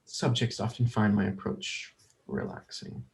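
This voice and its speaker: A man's voice: on the low side, a little slow, creaky. A man playing an awkward, defensive psychologist with a hesitant, dry manner in a dramatic script reading. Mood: dryly smug. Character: awkward, defensive adult psychologist working with young children.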